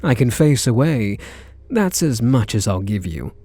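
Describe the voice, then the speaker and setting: A British man playing a reluctant kidnapper in an intimate ASMR roleplay, wry and incredulous, speaking in short halting phrases. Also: mid-range voice, sing-song, dark tone, no echo. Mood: wry, amused. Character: reluctant male kidnapper, gruff but secretly softening. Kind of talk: ASMR roleplay acting, intimate one-sided dialogue.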